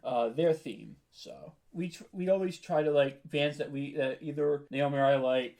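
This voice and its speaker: An American man with a voice a little higher than average, talking as an interview guest. He is chatty and informal, with a self-deprecating way of putting things. The delivery is loose, casual conversation. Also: moderate pace, creaky, darkish tone. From New York, United States. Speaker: podcast creator and voice actor being interviewed.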